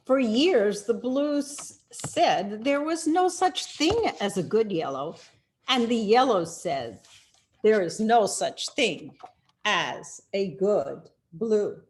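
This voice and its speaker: A woman reading a children's picture book aloud in an expressive, deliberate storytelling manner with dramatic pauses. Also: mid-range voice, slight background noise. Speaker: storyteller, rabbi.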